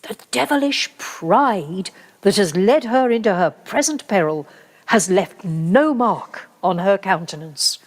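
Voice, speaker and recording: A woman playing a grave, gently zealous medieval Inquisitor in a radio play, speaking in measured, solemn rhetoric. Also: medium pitch, lively intonation. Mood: solemn warning. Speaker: actor. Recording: reverberant hall-like live recording from home.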